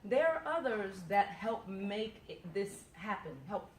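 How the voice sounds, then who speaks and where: A woman's voice: medium pitch, lively intonation, a little slow, a little hiss or hum. Effusive woman host in a roomy space. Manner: effusive, exclaiming often. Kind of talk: interview hosting.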